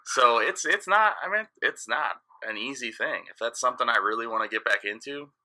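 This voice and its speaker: A man's voice: mid-range voice, animated, brisk, sharp tone. A man in his late thirties, a DJ guest in casual podcast chat, talking frankly and rambling, often trailing off and restarting. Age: 30s.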